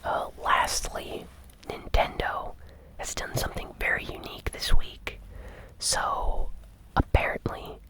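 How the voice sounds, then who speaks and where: A host whispering a casual gaming news recap in a breathy, conversational ASMR style. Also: poor audio.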